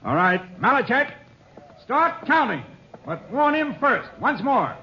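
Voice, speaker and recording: A commanding, cynical police captain in vintage radio drama. His pitch swings very widely as he takes charge. The sound is dull, with faint noise. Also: sing-song, a little slow, pushed and bright, heavily acted. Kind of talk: 1950s radio drama acting. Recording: muffled, faintly noisy 1950s radio recording.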